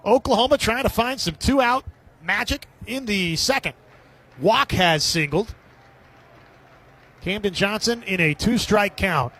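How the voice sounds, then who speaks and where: Male sports radio commentator, high voice, live baseball play-by-play in short clipped phrases. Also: animated, measured pace, long pauses, sharp tone.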